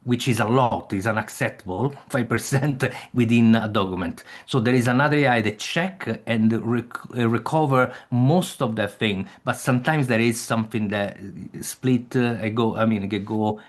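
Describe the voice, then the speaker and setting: A man leading an online meeting, speaking haltingly with frequent restarts and non-native phrasing. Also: medium pitch. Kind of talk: casual discussion.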